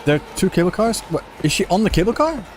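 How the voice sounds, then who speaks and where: A man's voice: high-pitched, very animated, quick pace. A man giving casual gameplay commentary as a streamer, with offhand muttered asides. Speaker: streamer, game player.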